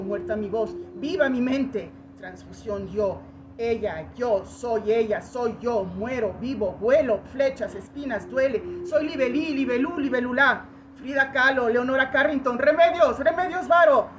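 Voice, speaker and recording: A woman performing a dramatic poetic monologue in short fragmented phrases, with intense, incantatory theatrical delivery. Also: a little high. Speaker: poetry performer. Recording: dull lo-fi recording with loud noise.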